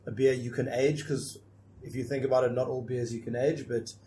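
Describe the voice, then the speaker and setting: A man's voice: medium pitch, flat delivery, a little fast, warm tone, slight background noise. A man, a brewer guest talking eagerly about his beer in a casual radio chat. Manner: enthusiastic, chimes in.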